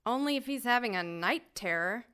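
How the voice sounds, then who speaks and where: A woman's voice: on the high side, animated, normal speed, bright, forceful tone, clear, resonant tone. A woman playing a jealous, vain and possessive self-styled goddess in an audio drama, haughty and cutting. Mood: haughty, taunting.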